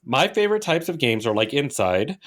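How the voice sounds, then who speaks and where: A man's voice: mid-range voice, sing-song, fairly quick, fairly bright tone. A man co-hosting a podcast in a casual, rambling style, often checking that his listener follows. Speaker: podcast co-host. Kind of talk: casual conversational video game chat.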